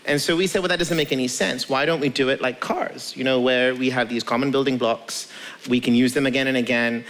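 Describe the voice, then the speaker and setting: A man's voice: medium pitch, fairly even intonation, fairly quick, a bit bright. A man speaking conversationally as a tech founder on stage, emphatic, building points with repetition and rhetorical questions. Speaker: tech company founder, guest.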